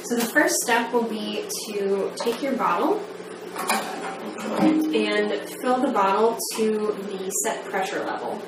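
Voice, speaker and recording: A woman in her thirties giving a measured, step-by-step training demonstration in the manner of a methodical instructor. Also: medium pitch. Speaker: medical device trainer. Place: small plain indoor room. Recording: echoey room, distant mic, background noise.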